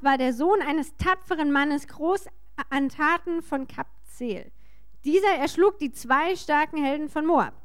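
A woman working as a sermon interpreter, speaking in short, informal and somewhat halting phrases. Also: high-pitched.

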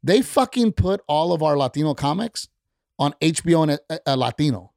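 A middle-aged man with a gravelly, slightly raised voice, talking hot and blunt as a comedian guest on a podcast. He swears freely and presses his point hard. The sound is close and dry, with no room around it. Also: on the high side, expressive, brisk, darkish tone, no echo. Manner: blunt, heated, profane. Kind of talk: casual chat. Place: podcast studio.

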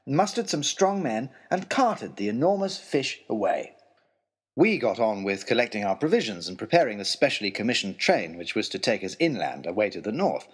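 An actor playing an earnest, wry young gentleman adventurer, narrating a comic adventure story from his letters in an expressive, formal, old-fashioned way. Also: mid-range voice, slightly rough.

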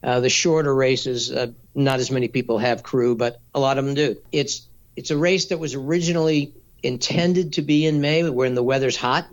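Measured man explaining race logistics. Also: mid-range voice, fairly even intonation. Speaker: race director. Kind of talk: casual interview answers.

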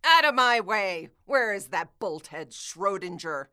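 A woman's voice: medium pitch, expressive, unhurried, pushed and bright, clean tone. A woman playing a bossy, sarcastic and melodramatic schoolgirl in a comic radio play. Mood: melodramatic, vengeful. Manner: sarcastic, theatrical outbursts. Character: bossy, melodramatic, easily disgusted cartoon schoolgirl.

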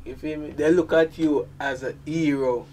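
A man's voice: on the high side, steady tone, moderate pace, a little mellow, far from the mic, slight background noise. A man with a thick Jamaican accent, a dancehall musician talking casually as a guest, leaning on "man" and "you feel me". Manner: laid-back, peppers talk with "man" and "you feel me". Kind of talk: casual interview chat.

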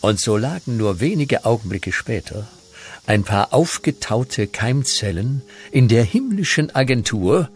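An actor as a mock-solemn storyteller, narrating a comedy radio play with sly, tongue-in-cheek humour. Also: male voice, medium pitch, sing-song, a little slow. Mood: wry, narrating.

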